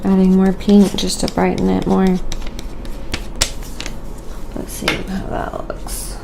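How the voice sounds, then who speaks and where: A soft-spoken woman chatting casually and quietly as she narrates what she is doing in an ASMR livestream, in an unhurried way. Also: mid-range voice, monotone. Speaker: livestreaming craft painter and host. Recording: clear background noise, windy ambience. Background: wind howling.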